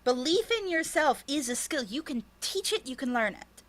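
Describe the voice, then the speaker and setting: A woman with a slightly high, bright and forceful voice chats candidly in an interview. She is blunt and emphatic, and she swears freely as she talks. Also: sing-song, normal speed. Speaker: interviewed writer and podcast creator. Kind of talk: candid casual interview chat.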